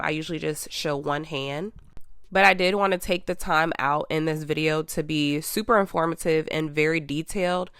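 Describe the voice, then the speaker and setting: A woman's voice: sits low, gravelly, fairly bright tone, no echo. A nail technician woman narrating a chatty, detailed tutorial voiceover while stuffy with a cold. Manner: chatty, detailed, with many ums.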